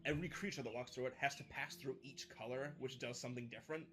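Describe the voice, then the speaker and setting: A man chatting casually and joking as a player in a tabletop game, brash and quick to swear. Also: medium pitch, steady tone, fairly bright tone. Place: in-person game session indoors. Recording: background music under the voice. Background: background music.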